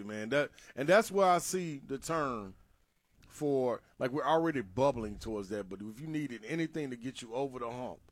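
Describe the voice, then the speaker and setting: Brief: young male sports radio co-host, animated and slangy, middle pitch, dry mic. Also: dry recording. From Nashville area. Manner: animated, slangy, laughs a lot. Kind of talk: casual sports talk.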